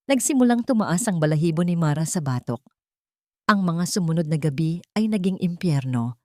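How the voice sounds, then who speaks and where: A woman narrating a dramatic audio story in a steady, dramatic storytelling manner. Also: low-pitched, no echo, studio quality.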